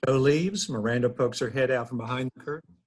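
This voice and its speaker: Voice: mid-range voice, a little fast, darkish tone, breathy edge. A narrator reading scripted stage directions for a table read.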